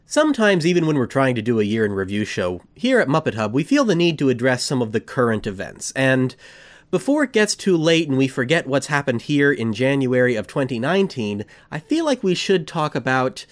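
A male podcast host talking casually in a mid-range voice. He rambles, corrects himself and gets excited. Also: medium pitch, brisk.

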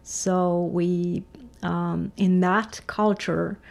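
Low, gravelly-voiced woman from Romania. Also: level tone, darkish tone. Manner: thoughtful, measured, with restarts. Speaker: physicist guest. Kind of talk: interview answers.

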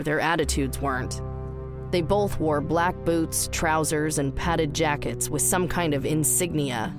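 A woman reading scripted fiction as the narrator of an audio drama. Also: low-pitched.

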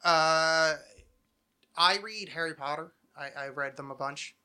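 A man's voice: on the high side, measured pace, sharp tone, smooth. An American man hosting a podcast with casual, rambling comedic chat, self-deprecating and freely swearing. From United States. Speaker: podcast host.

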